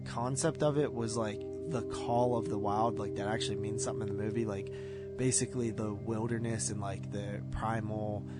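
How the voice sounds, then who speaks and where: A man's voice: lowish voice, steady tone, moderate pace. A man chatting casually as a movie podcast co-host, in a slangy, rambling way. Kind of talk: casual chat. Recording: music playing behind the voice.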